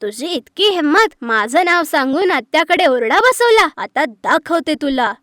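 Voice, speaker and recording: A woman voicing a cheeky, mischievous little girl who talks back in an animated story. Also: high voice, a little slow, no echo, clean studio sound, exaggerated voice. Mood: vengeful. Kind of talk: animated moral story dialogue.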